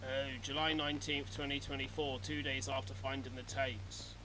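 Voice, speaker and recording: A man's voice: medium pitch, level tone, moderate pace, noisy background. A man giving a casual live-stream reaction, reading things aloud and commenting as he goes. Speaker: streamer reacting to horror videos.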